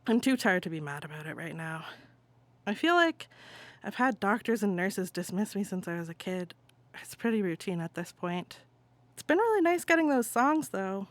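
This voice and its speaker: A woman's voice: mid-range voice, very animated, no echo, close to an everyday voice. Woman acting out an exasperated patient venting by phone. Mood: weary, softening. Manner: casual, venting, halting one-sided phone talk. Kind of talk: dramatic monologue, conversational stage reading.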